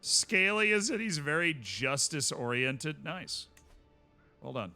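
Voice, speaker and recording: A man's voice: fairly high pitch, very animated, takes it slowly, pushed and bright, soft background music. A man streaming a strategy game, chatting casually with wry asides to himself.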